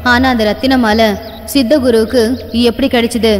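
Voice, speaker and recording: A woman voicing a stern but reassuring old sorceress grandmother in an animated horror bedtime story, giving firm orders and solemn incantations. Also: on the high side, moderate pace, long reverb tail, close to an everyday voice.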